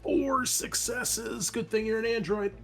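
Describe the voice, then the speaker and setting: A man's voice: very high voice, fairly even intonation, measured pace, creaky, fairly bright tone. A man running a tabletop horror roleplaying game as game master, talking conversationally and working through rules with fillers.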